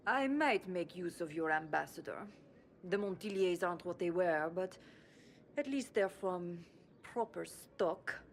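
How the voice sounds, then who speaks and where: A woman's voice: on the low side, slight background noise. An actress playing a haughty, aging female noble minister in a video game, speaking imperiously and with disdain. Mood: calculating. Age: older.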